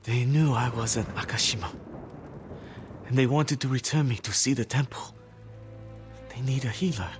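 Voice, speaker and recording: An actor playing a stubborn, principled pacifist healer monk, earnest and pleading, in a dramatic video game cutscene. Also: male voice, mid-range voice, moderate pace, pauses often, close to an everyday voice. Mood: sorrowful, gentle. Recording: background music under the dialogue.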